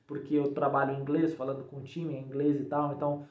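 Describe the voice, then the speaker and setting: A man's voice: mid-range voice, monotone, fast talker, highs cut off. A man with a Brazilian accent giving a casual, informal explanation to viewers, often checking in with short tags. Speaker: programming tutorial YouTuber. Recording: echoey untreated room.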